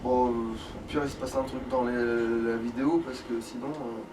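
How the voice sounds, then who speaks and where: A man's voice: mid-range voice, flat delivery, normal speed. A man giving casual, sweary, slangy commentary as he plays a video game. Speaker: gamer playing in a let's play video. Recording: distant mic with background noise.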